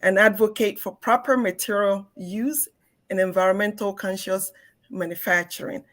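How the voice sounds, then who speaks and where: A woman's voice: mid-range voice, fairly even intonation, moderate pace, a bit bright. A woman, an industry expert, giving a formal introduction on a panel.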